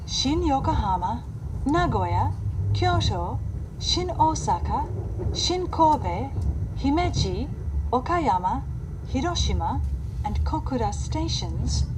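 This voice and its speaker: A woman giving a scripted train announcement, formal, courteous and carefully enunciated. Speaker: English-language train announcer. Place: played inside a moving train carriage. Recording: onboard train speaker with clear vehicle noise. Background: train rumble and cabin noise.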